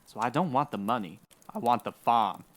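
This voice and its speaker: An actor playing a greedy, haughty land grabber in a radio western, speaking with cold, pompous indignation. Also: male voice, medium pitch, expressive, normal speed, natural voice. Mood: smug, cold. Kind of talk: old-time radio western villain acting.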